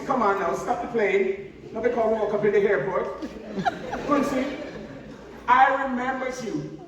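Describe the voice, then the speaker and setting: A man playing a chatty, nosy Jamaican character in a comic stage pantomime, gossiping animatedly in Patois. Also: extremely high pitch. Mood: insistent, excited. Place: theatre stage. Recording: echoey noisy theatre from far off.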